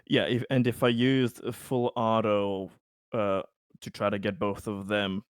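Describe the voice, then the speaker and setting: A man playing a tabletop game, eagerly talking through his moves, quick and laughing at times. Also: lowish voice, little pitch movement, clean tone, dry recording, clean studio sound. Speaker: player.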